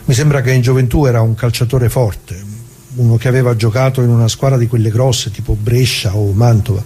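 A man's voice: medium pitch, steady tone, a little fast, mellow, close to an everyday voice. An actor playing a plain-spoken old workingman who remembers, in a colloquial, reflective radio theatre monologue full of rhetorical questions. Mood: reflective, wry. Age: older. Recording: clearly noisy recording with hum.

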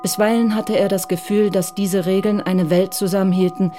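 A woman's voice: mid-range voice, fairly even intonation, normal speed, music in the background, close to an everyday voice. A woman narrating a crime radio drama as a composed, detached storyteller. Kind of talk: scripted literary narration in a radio play.

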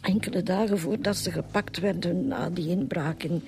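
Sad bitter flemish widow, hesitant n sighing, radio play, faint music. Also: female voice, fairly low pitch, normal speed, vocal fry, a little mellow. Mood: weary, resentful. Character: grieving, embittered widow of a small-time burglar. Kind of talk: radio drama acting.

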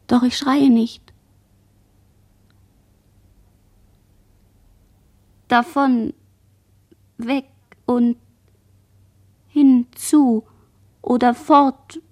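A radio play monologue by a dazed girl shipwreck survivor. The voice is high and husky with a fry in it. Words come in halting, broken chains, as if barely conscious. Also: a little mellow, heavily acted. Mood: dazed, haunted. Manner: fragmented, halting word chains.

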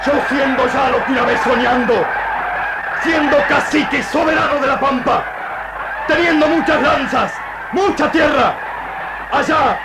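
Proud commanding warrior chief, very high voice, slow declamation, broken speech, old radio play. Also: male voice. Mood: proud, exalted. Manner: declamatory war cries in broken, gerund-heavy speech. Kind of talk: radio drama acting. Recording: archival radio, loud music and crowd noise behind.

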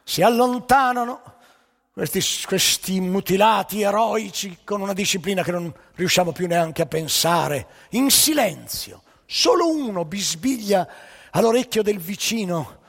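Gravelly man telling stories dramatically. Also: high-pitched, animated, measured pace. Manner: dramatic, varying loud and soft. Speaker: storyteller. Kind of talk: theatrical storytelling. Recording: live stage show with long hall reverb.

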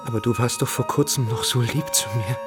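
An actor playing an abject, frightened, tormented boarding-school pupil who speaks in a pleading way in a radio drama. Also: male voice, medium pitch, fairly quick, lightly acted. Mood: hurt. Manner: pleading, desperate. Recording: music clearly behind the voice.

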